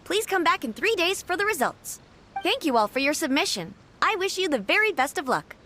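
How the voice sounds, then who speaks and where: A woman's voice: extremely high pitch, very animated, fairly quick, sharp tone, slight background noise, heavily acted. A woman voicing a polite, upbeat young clerk running a writing contest in a video game.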